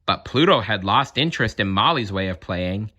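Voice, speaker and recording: A man reading a children's story aloud in an expressive narrating style. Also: on the low side, moderate pace. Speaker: storybook reader.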